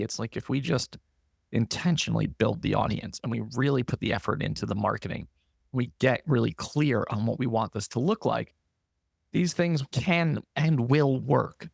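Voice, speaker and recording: A man hosting a solo podcast, talking earnestly and encouragingly to listeners, with plenty of "um" and "like", his voice going hoarse. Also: medium pitch, no room sound, clean studio sound. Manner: earnest, encouraging, frequent fillers like "um" and "like". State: losing his voice. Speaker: podcast host. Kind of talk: solo podcast monologue, coaching tone.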